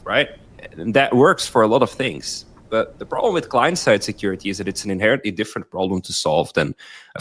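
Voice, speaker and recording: Man explaining, slightly low voice. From Belgium. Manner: fluent explainer, tags points with "right?". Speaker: guest, company founder. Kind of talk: explanatory interview answers.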